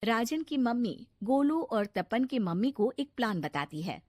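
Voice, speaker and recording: A woman's voice: a little high, big pitch swings, a little slow, darkish tone, dry recording, studio quality. A woman reading scripted narration for a children's cartoon story.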